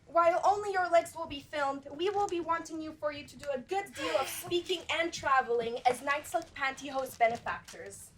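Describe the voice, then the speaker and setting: A smooth-talking, pompous casting agent, played by a teenage girl on a school stage. Her voice sits high, with faint noise in the background. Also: poor audio. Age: teens. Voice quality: pompous, salesy. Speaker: student actor. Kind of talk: school stage play acting.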